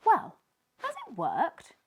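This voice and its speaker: A woman's voice: high voice, huge pitch swings, slow pace, a bit bright, a little hiss or hum, voice pushed up, exaggerated voice. A woman voicing an eager, helpful little fish friend with an encouraging, coaxing manner in a storybook. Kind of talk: children's storybook character voice.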